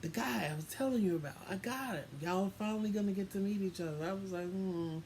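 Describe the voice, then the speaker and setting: A man's voice: high-pitched, fast, darkish tone. A man telling a personal story casually, in a dry, sassy, unimpressed way, acting out bits of remembered dialogue. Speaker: co-host telling a couple's story. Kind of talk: casual storytelling with acted-out quotes. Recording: noisy room ambience.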